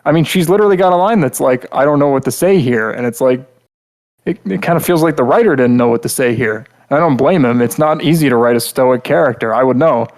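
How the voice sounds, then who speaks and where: A man's voice: medium pitch, fast. A man co-hosting a podcast, giving casual critique in a rambling, blunt way with lots of verbal fillers. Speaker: podcast co-host.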